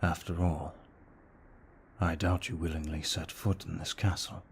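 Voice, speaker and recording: A man playing a courteous, protective young vampire aristocrat in an ASMR audio roleplay, speaking formally in a hushed, measured way. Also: deep voice, little pitch movement, a little hiss or hum. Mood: regretful, earnest. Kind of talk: intimate ASMR roleplay acting.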